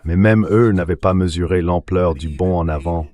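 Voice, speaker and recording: A man's voice: sits low, moderate pace, darkish tone, natural voice. A man's synthetic dubbing voice, speaking as a guest giving translated interview commentary.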